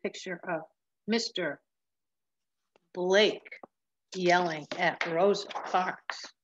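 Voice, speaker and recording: A woman in her seventies reading a picture book aloud in a deliberate way, with emphatic pauses. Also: fairly low pitch, fairly even intonation, muffled recording. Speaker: storybook reader. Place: large room at home with wooden ceiling, indoors.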